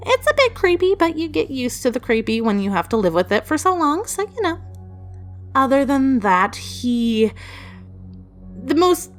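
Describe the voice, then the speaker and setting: A woman telling personal stories casually in a chatty, rambling, playfully emphatic way. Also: a little high. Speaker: storytelling video creator. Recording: music behind the voice. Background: background music.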